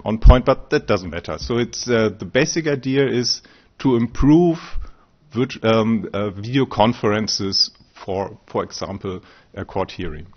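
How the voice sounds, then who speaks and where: A man's voice: mid-range voice, dull audio. A man from Austria giving a conference talk as a researcher, hesitant, with frequent fillers and restarts. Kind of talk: conference presentation. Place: conference room.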